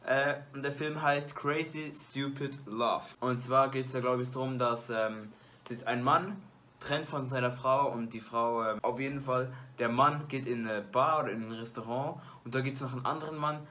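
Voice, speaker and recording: A man's voice: mid-range voice, moderate pace, sharp tone. A teenage boy vlogging from his bedroom, rambling casually to a webcam with lots of fillers and restarts. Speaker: YouTuber. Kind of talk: casual movie-ranking vlog. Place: small bedroom lit with colored LED lights.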